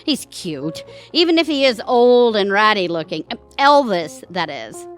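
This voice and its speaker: Lively, wisecracking wife over music. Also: female voice, medium pitch, a little slow. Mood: wry, chatty. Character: wry, scheming middle-aged married woman. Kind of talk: scripted audio theatre comedy acting.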